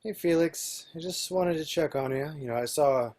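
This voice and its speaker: A man's voice: a little high, normal speed, darkish tone, natural voice. A man playing a lonely, grumbling video-game bad guy with a sarcastic edge in a screenplay reading. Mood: friendly.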